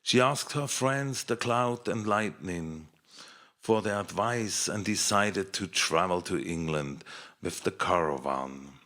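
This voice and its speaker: A man narrating a scripted fairy tale in an expressive, measured children's storytelling manner. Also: sits low.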